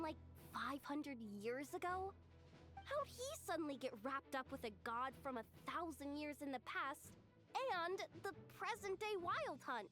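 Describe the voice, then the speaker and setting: A woman's voice: sits high, a bit bright, exaggerated voice. A woman voicing a cheerful young female messenger in a game, bubbly and playful. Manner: bubbly and playful, quick to laugh. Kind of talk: game voice acting.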